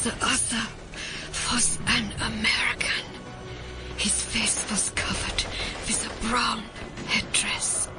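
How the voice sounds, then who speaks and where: A haunted woman scientist in a modern audio drama. Her voice sits fairly high and moves slowly, hushed and breathy, with desperate devotion to her work. Dramatic music plays clearly behind her. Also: level tone. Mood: haunted, shaken. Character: shaken female scientist recounting an attack, desperate and dedicated. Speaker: voice actor.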